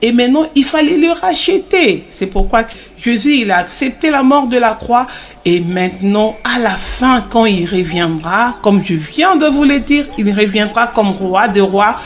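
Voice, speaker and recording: A woman's voice: on the high side, lively intonation, unhurried. A woman playing a devout mother in a religious children's cartoon, teaching in an encouraging way and repeating phrases for emphasis. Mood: earnest, explaining.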